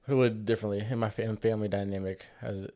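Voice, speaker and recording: A young man of about twenty-one with a lowish voice co-hosts a casual podcast. His talk is informal and slangy, like a relaxed family conversation. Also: quick pace. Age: early 20s. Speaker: podcast co-host. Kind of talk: casual chat.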